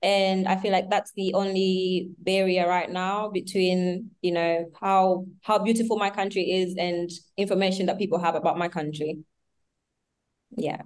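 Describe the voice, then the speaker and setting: A woman's voice: lowish voice, level tone, a little slow, darkish tone. A woman in her early twenties with a Tanzanian accent, giving casual answers as an interview guest. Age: early 20s. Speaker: interview guest, tour company owner.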